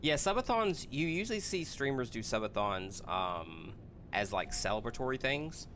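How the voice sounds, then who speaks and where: A man in a casual group chat, explaining a story haltingly with self-corrections. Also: mid-range voice, sing-song, fairly bright tone, slight background noise. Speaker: podcast host.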